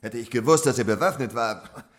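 An actor playing a shady Victorian opium-den owner in a German radio drama, deferential and self-justifying as a witness. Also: male voice, medium pitch, brisk, natural voice.

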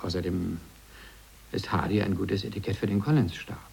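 An actor playing a calculating, persuasive media executive in a radio drama, measured yet insistent. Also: male voice, low voice, fairly even intonation, creaky, a little mellow, slight background noise. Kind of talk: 1980s German radio drama acting.

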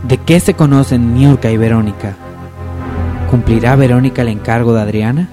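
A man's voice: mid-range voice, moderate pace, over music. A man narrating a parody radio soap opera from a script.

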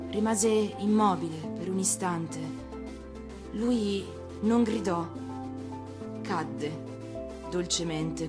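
A woman reading a story in the first person as an actress would, emotive and hesitant, pausing between short phrases. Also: on the low side. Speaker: actress reading a fairy tale. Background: music.

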